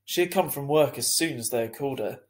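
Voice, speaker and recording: A man narrating a children's book as a teacher reading aloud, deliberate and expressive. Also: medium pitch.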